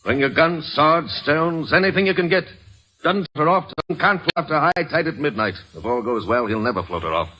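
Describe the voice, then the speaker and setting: An actor playing a brash, hot-tempered colonial patriot captain in an old-time radio drama, salty and commanding. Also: male voice, a little high, expressive, brisk, pushed and bright, low-quality recording. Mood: conspiring, determined. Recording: vintage radio recording with music.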